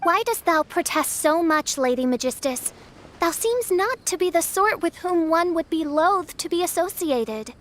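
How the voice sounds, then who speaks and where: A woman's voice: very high voice, lively intonation, moderate pace, fairly bright tone, slight background noise. A woman playing a theatrical young girl in an anime game who talks like a pompous princess in archaic, melodramatic phrases. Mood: haughty. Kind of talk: anime video game voice acting.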